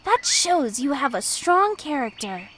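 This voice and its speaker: A woman voicing a small, clever male bunny for a children's animated story, earnest, friendly and persuasive. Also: high-pitched, a little slow, slight background noise, full character voice. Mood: calm, reassuring.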